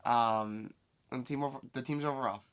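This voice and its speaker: Raspy laid-back man. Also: medium pitch, fairly quick, a bit bright. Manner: asks questions, laid-back. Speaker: podcast co-host. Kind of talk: casual sports talk.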